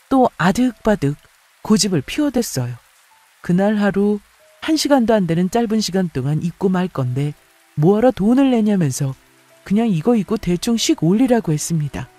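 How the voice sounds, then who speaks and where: Male radio-story narrator, mellow timbre, very animated intonation, dramatic first-person reading. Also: high-pitched.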